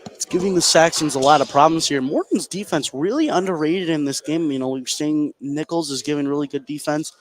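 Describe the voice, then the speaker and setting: A man offers color commentary at a live basketball game. His voice is a little higher than most men's. He explains what he sees in conversational asides, analyzing effort and defense. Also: brisk, slight background noise. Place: indoor high school gym, courtside.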